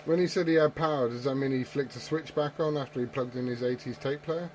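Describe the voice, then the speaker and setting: A man's voice: mid-range voice, fast. Guy on a cb radio swearing and joking around, super noisy signal w clicks. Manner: storytelling with tall tales. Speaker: CB radio operator. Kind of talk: casual CB radio banter.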